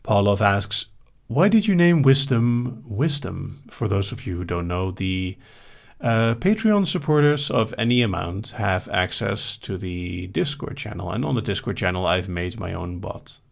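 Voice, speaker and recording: A man answers questions from a live chat in a calm, measured way, with dry humor. His voice sits low and has a gravelly edge. His pitch moves around a lot as he talks. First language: Dutch.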